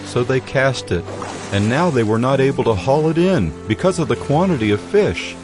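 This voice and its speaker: A man narrating scripture in a steady, solemn scripted reading. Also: mid-range voice, normal speed. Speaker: Bible narrator. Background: music.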